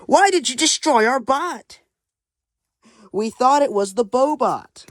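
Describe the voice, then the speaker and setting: An excitable comic-book adventurer , put on by an amateur reader, exclaims dramatically. The voice jumps high above ordinary talk and is recorded dry and close. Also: takes it slowly, dry recording. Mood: urgent, dramatic. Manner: exclaiming, dramatic read-out dialogue.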